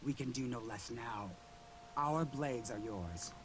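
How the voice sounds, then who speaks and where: A man's voice: medium pitch, takes it slowly, noisy background, lightly acted. An actor playing a formal male agent of a secret order in a video game. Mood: solemn. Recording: game audio with music behind.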